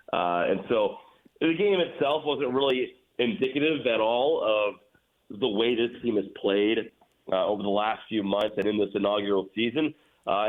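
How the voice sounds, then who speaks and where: A man chatting casually as a guest sports announcer in an interview, over a phone line. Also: fairly low pitch. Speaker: guest, radio play-by-play announcer for a pro hockey team. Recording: phone-like narrow band.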